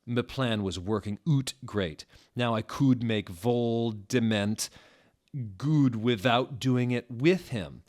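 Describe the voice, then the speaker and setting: A man's voice: medium pitch, takes it slowly, a little mellow. A man narrating fan fiction as a comedic dramatic reading, in a theatrical, mock-serious manner.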